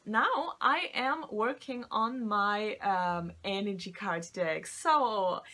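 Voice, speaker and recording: A woman's voice: mid-range voice, slow, pushed and bright. A middle-aged woman and non-native English speaker, talking casually to camera as a tarot reader and vocal coach, with many fillers and restarts. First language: not English.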